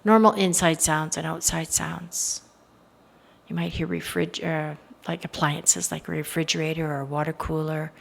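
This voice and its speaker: A woman leading a guided meditation in a soothing, hypnotic style, with soft trailing phrase endings. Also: deep voice, steady tone, normal speed, vocal fry.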